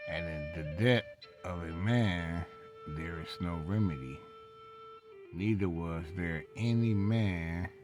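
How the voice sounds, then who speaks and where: Very slow, deliberate man reading scripture. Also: deep voice. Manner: deliberate, halting phrasing with long pauses. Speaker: religious reader and commentator. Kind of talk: slow scripture read-along with commentary.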